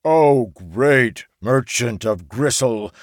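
A man performs an adventurer playing the noble hero, pitched well up and sweeping widely. He declaims in slow, mock-archaic phrases, as if on a stage. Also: expressive, no room sound, clean studio sound. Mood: mock grandiose. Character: mocking male adventurer playing at grand heroic oratory. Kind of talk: audiobook character acting.

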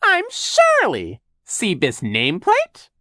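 A man voicing an evil villain who poses as a sugary female receptionist, in a ridiculously high falsetto with a drawn-out, theatrical delivery. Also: wildly swooping pitch, bright, forceful tone, no echo, studio quality, exaggerated voice. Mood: mock-sweet. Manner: mock-sweet, drawn-out, theatrical.

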